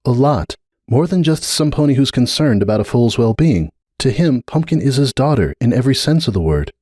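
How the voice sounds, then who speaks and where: An earnest cartoon pony mare, acted out by a male reader in a fan story reading. The voice sits well above a man's usual talk and swings widely in pitch as she argues with insistent, exasperated emphasis. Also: lively intonation, fairly quick, warm tone, slightly rough, dry recording, clean studio sound. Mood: careful, persuasive. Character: earnest, exasperated female cartoon pony pleading her case.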